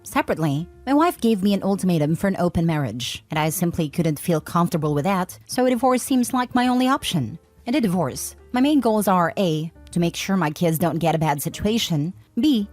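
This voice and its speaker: Woman narrator, low voice, steady read-aloud, soft music underneath. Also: fairly quick, hardly any pauses. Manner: even, steady reading of written posts. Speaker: narrator reading forum posts. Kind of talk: scripted narration. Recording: faint background music.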